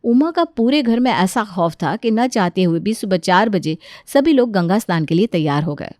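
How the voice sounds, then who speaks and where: A woman's voice: mid-range voice, animated, moderate pace, darkish tone, dry recording. Woman narrating a story, dry recording.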